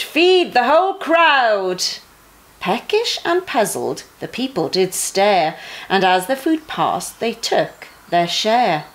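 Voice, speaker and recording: A middle-aged woman reads children's Bible stories aloud in an expressive storytelling manner, with emphatic phrasing for young listeners. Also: mid-range voice, slight background noise. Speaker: bedtime story reader for a junior church.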